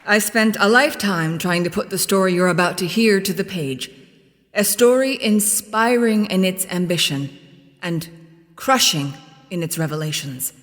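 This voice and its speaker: A woman playing a grandiose, tormented storyteller, giving solemn, florid theatrical narration with dramatic pauses. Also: on the low side, a bit bright, lightly acted. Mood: grave, anguished. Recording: echoey hall with faint music.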